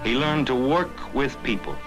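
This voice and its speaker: 1940s American newsreel narrator over music. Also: male voice, mid-range voice, animated, unhurried, low-quality recording. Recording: 1940s film soundtrack with prominent music.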